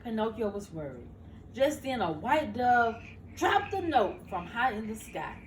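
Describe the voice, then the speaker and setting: A woman's voice: fairly high pitch, big pitch swings, measured pace, a crowd in the background. An older woman, a grandmother, reads a bedtime storybook expressively to children, stumbling now and then and correcting herself. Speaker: grandmother reading to her grandchildren. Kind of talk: animated bedtime storybook reading. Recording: roomy, noisy home recording, far from mic.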